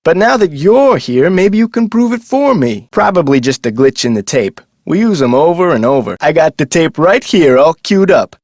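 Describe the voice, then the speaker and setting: Video game park guard, adult male: eager, folksy talker, slightly high-pitched, very lively inflection. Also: normal speed, few pauses, no echo, studio quality. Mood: chatty, casual. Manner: folksy, colloquial, animated delivery. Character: chatty, eager amusement park security guard, adult man. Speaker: security guard character. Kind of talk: scripted video game character dialogue.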